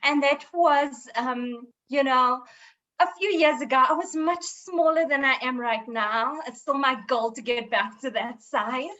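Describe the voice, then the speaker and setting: A woman in her twenties from South Africa with a squeaky voice, speaking earnestly as a panelist in a panel discussion. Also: measured pace, pushed and bright, lo-fi sound. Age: 20s. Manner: earnest and encouraging, with self-deprecating humor.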